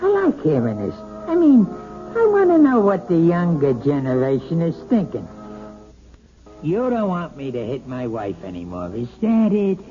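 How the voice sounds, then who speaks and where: An actor playing a bullying, boozy husband in a 1970s radio drama, swaggering and sneering with false joviality. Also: male voice, a little high, wildly swooping pitch, normal speed, mellow. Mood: mocking, menacing. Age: adult. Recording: dull old radio broadcast, music.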